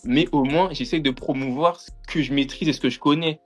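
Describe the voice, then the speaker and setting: A man's voice: a little high, a little fast, faint music behind. A young man from France, a podcast guest, chatting in an informal, slangy way full of little tag phrases. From France, of Antillean background. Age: young adult. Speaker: podcast guest, music media content creator. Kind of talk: casual chat, slangy.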